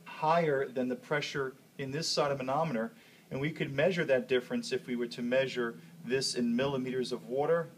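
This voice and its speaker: Husky-voiced man teaching a science demonstration. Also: medium pitch. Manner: hands-on step-by-step explaining, checking in with "okay" and "all right". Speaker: chemistry teacher.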